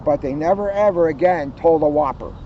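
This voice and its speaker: A man's voice: a little high, brisk. A man reading and explaining to children in an emphatic way, repeating key phrases, outdoors. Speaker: police officer reading to children. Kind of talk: storybook narration and casual explanation. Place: outdoors, sitting outside. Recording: muffled outdoor recording with traffic noise.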